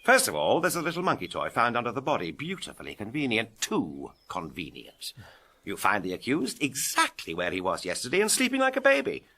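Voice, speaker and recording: An actor playing a breezy, cocky British adventurer sleuth in a tongue-in-cheek golden-age detective drama, jaunty and teasing. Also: male voice, mid-range voice, huge pitch swings, fairly quick, bright, forceful tone, heavily acted. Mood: deductive, emphatic.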